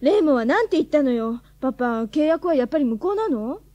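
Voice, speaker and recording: A woman playing a plucky, cheeky high-school girl with worries, in a Japanese radio drama. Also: expressive, quick pace, mellow, no echo, highs cut off. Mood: pressing, exasperated.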